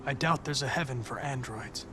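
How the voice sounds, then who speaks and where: An actor playing a calm, polite male android detective who talks in an even, composed way, in a video game cutscene. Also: medium pitch, moderate pace, noisy background, lightly acted. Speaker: police investigator partner. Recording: game score music behind. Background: music.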